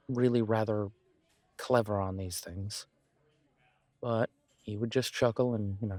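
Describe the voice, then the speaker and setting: A man's voice: fairly low pitch, darkish tone, no echo, close to an everyday voice. A man playing a supportive, self-deprecating best friend in a conversational roleplay audio, talking in a reassuring, reflective way. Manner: reassuring, chatty, reflective pauses. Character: warm, encouraging male best friend, self-deprecating, gently earnest.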